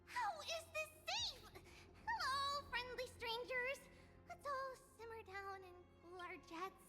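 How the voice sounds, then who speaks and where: A very high, bubbly bossy girl. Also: lively intonation, takes it slowly, bright, forceful tone, heavily acted. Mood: exasperated, scolding. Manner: chirpy, theatrical, chatty. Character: bubbly, bossy young girl peacemaker, playful and friendly. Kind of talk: anime-style game voice acting. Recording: long reverb, clear music behind.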